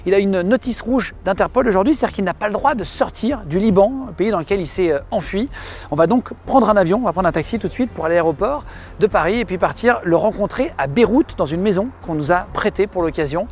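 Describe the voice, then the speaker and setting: A man hosting an interview podcast, quick and direct, who piles up short questions. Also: sits high, expressive, slight background noise. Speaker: podcast host. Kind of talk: interview host and channel intro.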